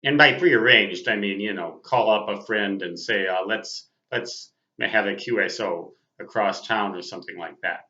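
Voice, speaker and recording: An American man in his sixties reminiscing casually as a host, speaking in a deliberate, precise way. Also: lowish voice, pushed and bright. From Minnesota, United States. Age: 60s. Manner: deliberate, precise, spells out terms phonetically. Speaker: host, amateur radio operator. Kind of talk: casual reminiscing monologue to viewers. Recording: dull, lo-fi sound.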